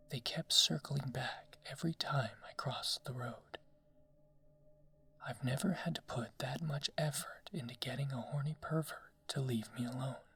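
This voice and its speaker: A narrator reading a scary story in a hushed ASMR whisper, slow and deliberate. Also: mid-range voice, gravelly, husky. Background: background music.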